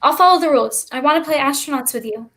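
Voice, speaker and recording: A woman's voice: high-pitched, fast talker, fairly bright tone. A woman playing a needy, defiant, restless child in a staged play reading. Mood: sheepish, apologetic.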